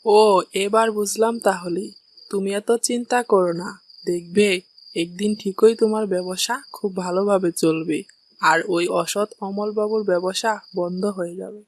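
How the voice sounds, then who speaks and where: A woman's voice: mid-range voice, steady tone, moderate pace, a little mellow, natural voice. A woman voicing a shopkeeper's questioning wife in a cartoon story. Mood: reassuring. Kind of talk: Bengali cartoon dialogue.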